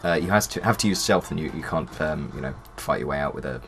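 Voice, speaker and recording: A man with a deep voice chats casually as a gaming co-host. His way of talking is informal and jokey, with a teasing edge. Music plays quietly behind him. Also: lively intonation, quick pace. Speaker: let's play co-host. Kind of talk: casual chat over gameplay.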